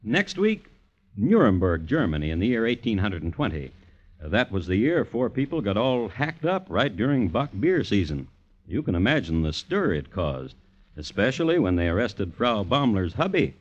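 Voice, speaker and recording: A macabre crime storyteller hosting an old American radio drama. His low voice is deliberate and deadpan yet widely inflected, droll and formal, on a muffled vintage recording. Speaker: narrator and host. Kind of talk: 1950s radio narration, scripted.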